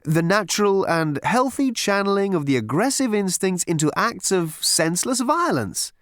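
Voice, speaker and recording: A sly, beaming psychiatrist , as a male reader puts him on, with a high, sing-song lilt. The pitch swoops widely. The sound is dry and close. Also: big pitch swings, normal speed, fairly bright tone, no room sound. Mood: smarmy. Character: smarmy cheerful male psychiatrist, grinning and sly. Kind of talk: audiobook character reading.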